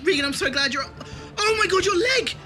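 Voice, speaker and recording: A man's voice: very high-pitched, expressive, fairly quick, over loud music. An actor playing a flustered, loyal male companion in a fan audio drama, anxious and stammering. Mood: panicked.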